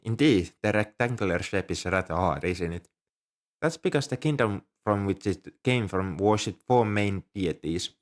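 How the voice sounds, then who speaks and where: A man's voice: on the low side, expressive, normal speed, natural voice. A man playing an ancient, polite, nerdy dragon who collects coins, speaking earnestly and a little primly to the listener in an ASMR roleplay. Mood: enthusiastic, explaining. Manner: polite, earnest, slightly prim and scholarly. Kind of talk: ASMR roleplay, one-sided dialogue with the listener.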